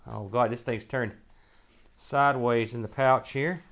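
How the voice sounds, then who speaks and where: Man, mid-pitched voice, casual show host, wry and joking, folksy slang, muttering his thoughts as he handles items. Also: steady tone, a little slow, fairly bright tone. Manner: thinks aloud while handling items. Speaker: host of a retro gaming show. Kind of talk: casual unboxing commentary.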